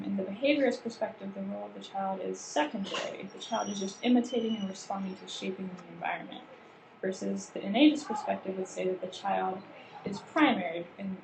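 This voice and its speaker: A woman's voice: mid-range voice, gravelly. A woman leading a staff training session, explaining ideas at length with frequent fillers and restarts. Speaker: workshop trainer. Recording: roomy with background noise.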